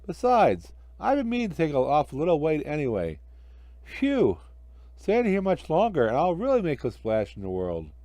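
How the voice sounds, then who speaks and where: A man's voice: a little high, huge pitch swings, moderate pace, dark tone, no room sound. A man voicing a jolly, eager, good-natured snowman in a children's storybook, exclaiming and cheerfully joking.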